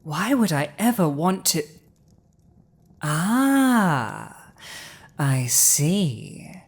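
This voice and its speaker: A woman playing a proud, dominant dragon ruler in an intimate audio roleplay, with a languid, haughty, theatrical delivery and dramatic pauses. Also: fairly low pitch, big pitch swings, husky, heavily acted. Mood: thoughtful, scheming.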